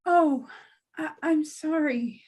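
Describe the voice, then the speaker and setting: A high, slow-paced young woman's voice, acted by a woman at a table read. She is polite, earnest and a little hesitant, a drama heroine. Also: a little mellow. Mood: concerned, hesitant. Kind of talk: acted script reading.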